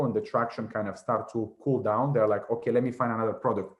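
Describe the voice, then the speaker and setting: A man in his twenties from Latvia, a native Russian speaker, chatting as an interview guest in a rambling way, often repeating "you know" and "as I said". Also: medium pitch. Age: 20s. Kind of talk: casual interview chat.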